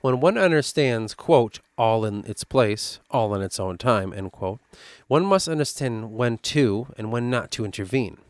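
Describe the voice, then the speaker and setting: A man's voice: medium pitch, lively intonation, no echo. Dry-voiced Midwestern man musing over notes. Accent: Midwestern American. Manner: thinking aloud, halting, restarts, slows down for lore passages. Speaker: worldbuilding writer. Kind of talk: reading and musing over his own lore notes.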